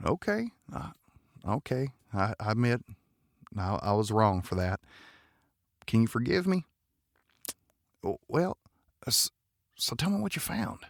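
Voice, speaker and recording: A man playing a protective, smitten, folksy romantic lead in an audio drama, earnest and halting, with a gravelly voice. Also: mid-range voice, sing-song, no room sound, studio quality. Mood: apologetic.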